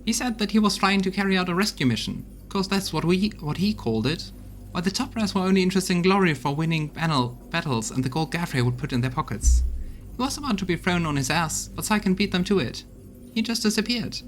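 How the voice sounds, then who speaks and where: A man voicing a rough-tongued, loyal crusade soldier in a fantasy game, talking in a blunt, folksy, gossipy way. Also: high voice, expressive, fast, soft background music. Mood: gossipy, exasperated. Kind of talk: voiced fantasy role-playing game dialogue.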